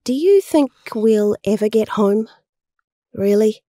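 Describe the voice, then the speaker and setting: A woman's voice: medium pitch, lively intonation, takes it slowly, warm tone, dry recording, clean studio sound. A woman acting in an audio drama as an earnest, homesick young woman stranded among rebels, a little hesitant in her speech. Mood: wistful.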